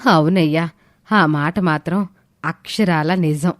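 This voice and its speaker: A woman's voice: sits low, takes it slowly, no echo, studio quality. A woman playing a doting, homely middle-aged village mother speaking affectionately in an audio story. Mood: plainspoken. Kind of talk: scripted audio story dialogue.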